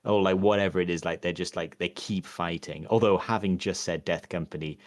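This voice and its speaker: A man talks in a casual podcast chat, his voice sitting very low. He rambles, trails off and restarts, full of fillers. The sound is dry and close, with no room echo. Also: fairly even intonation, a little fast. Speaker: podcast co-host.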